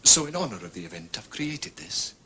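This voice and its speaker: Scottish small-town police inspector, proud n precise, bright voice, swings up and down, bit of hiss. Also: male voice, fairly low pitch, big pitch swings, fairly quick, heavily acted. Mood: proud, businesslike. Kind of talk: British period detective drama acting.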